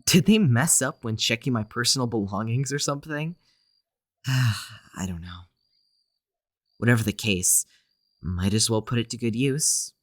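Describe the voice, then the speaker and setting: A man's voice: medium pitch, a little slow, pauses often, no room sound, studio quality, close to an everyday voice. A man playing a tired, withdrawn young psychiatric patient in an audio drama, speaking in an anxious, subdued, hesitant way. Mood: hesitant, resigned.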